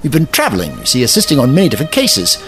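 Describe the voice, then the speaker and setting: A man's voice: mid-range voice, huge pitch swings, racing, fairly bright tone, faint music behind. A man playing an eccentric, curious time-travelling Doctor in an audio drama, whimsical, wordy and playfully pedantic. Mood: eager.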